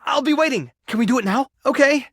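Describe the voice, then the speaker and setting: A male actor in a dating video game playing an earnest, tender, awkward keeper of an animal rescue, sincere and prone to halting rambles. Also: fairly high pitch, sing-song, dry recording, clean studio sound. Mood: excited, flustered. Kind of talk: video game character voice acting, dating simulator.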